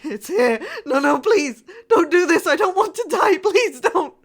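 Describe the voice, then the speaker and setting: High-voiced, terrified spaceship crewwoman acted by a woman. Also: animated, background noise, full character voice. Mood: terrified pleading. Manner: dramatic, halting, emotional delivery. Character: terrified female spaceship crew member, pleading and defiant. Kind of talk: horror sci-fi audio drama acting.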